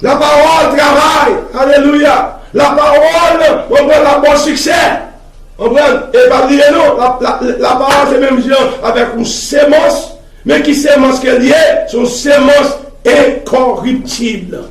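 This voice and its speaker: High-pitched Haitian preacher, a man. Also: steady tone, a little slow. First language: Haitian Creole. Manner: emphatic, repetitive, punctuated with exclamations of praise. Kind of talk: fervent sermon mixing Creole and French. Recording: echoey room, distant mic, crowd noise.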